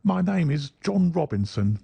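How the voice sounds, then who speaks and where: A man's voice: on the high side, very animated, measured pace, gravelly, mellow, husky, no room sound, pitched above the performer's own voice, heavily acted. A man doing a quavering, frightened little man. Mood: nervous whining. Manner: whining, quavering.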